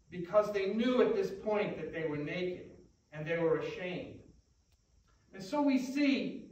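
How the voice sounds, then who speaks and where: An older American man, an Orthodox priest, giving a measured, thoughtful sermon with frequent pauses. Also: high voice, fairly bright tone. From United States. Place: large church sanctuary, indoors. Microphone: far camera. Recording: echoey church from far off.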